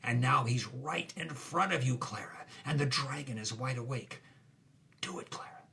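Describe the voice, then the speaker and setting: A man playing an intense, goading old-Hollywood film director, hushed and urgent, with tough noir-style delivery. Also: medium pitch, moderate pace, creaky. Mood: goading, menacing whisper. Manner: hushed, urgent, conspiratorial whispering.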